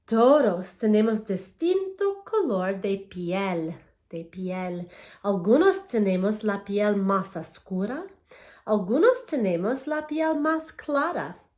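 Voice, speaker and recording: A woman teacher reading aloud to young children in a simple, deliberate, encouraging way, often posing questions to them. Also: mid-range voice, animated, darkish tone. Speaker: elementary school language teacher. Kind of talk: children's read-aloud lesson.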